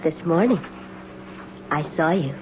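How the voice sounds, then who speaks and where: An actress playing a sly, coolly insolent woman drifter in a radio mystery, languid and softly taunting. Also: low-pitched, lively intonation, a little slow. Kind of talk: 1970s radio mystery drama acting. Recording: muffled, noisy 1970s radio broadcast.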